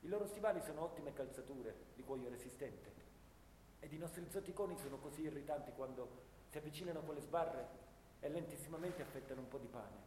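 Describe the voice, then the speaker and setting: A man playing a haunted, bitter young First World War soldier in a theatrical radio monologue, telling his story dramatically, between hushed and intense delivery. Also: a little high, a little fast, natural voice. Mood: weary, pitying. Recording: roomy, long reverb, clear background noise.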